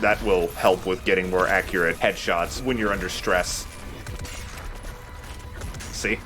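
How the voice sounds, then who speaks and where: Man, low voice, let's play host, casual explanatory game commentary, mild swearing, game music behind. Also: fairly even intonation, a little slow. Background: video game music.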